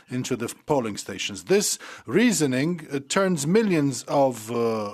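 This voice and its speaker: Measured man giving a political speech. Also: on the high side. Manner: measured, with occasional fillers.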